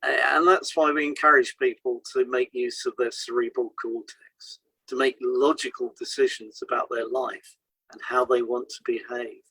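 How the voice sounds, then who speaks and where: Husky man explaining, dry sound. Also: mid-range voice, flat delivery, moderate pace, sharp tone, dry recording, low-quality recording. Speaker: podcast co-host, hypnotherapist. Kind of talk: scripted podcast discussion, read aloud.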